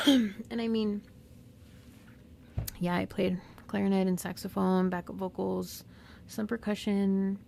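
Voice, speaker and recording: A woman with a Southern California accent whose first language is Spanish, talking unscripted and reflectively in a slow, pausing monologue as a podcast host. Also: mid-range voice, flat delivery, gravelly, a little hiss or hum. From Mexican-American. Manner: slow, reflective, with pauses and fillers.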